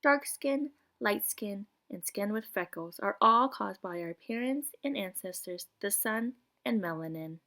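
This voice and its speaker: A woman reads to young children in the manner of a preschool teacher. Her mid-pitched voice rises and falls with lots of variety as she explains and poses questions from a picture book. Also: expressive, measured pace, few pauses, sharp tone. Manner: clear explanatory reading with questions posed to children. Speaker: preschool teacher reading aloud. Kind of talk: children's nonfiction picture book read-aloud.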